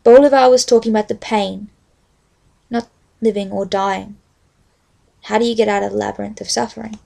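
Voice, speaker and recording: A young adult woman with an Australian accent, speaking as a vlogger in a halting, unscripted-sounding way. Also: medium pitch, fairly even intonation, gravelly, warm tone. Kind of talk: casual rambling chat with a read-aloud book passage.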